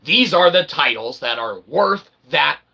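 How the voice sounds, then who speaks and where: A man hosting his own channel, rambling in a monologue that swings from mutters to sudden loud outbursts and keeps asking "okay?". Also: fairly high pitch, lively intonation, measured pace, vocal fry. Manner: peppers talk with "okay?" and "literally". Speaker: self-published author and channel host.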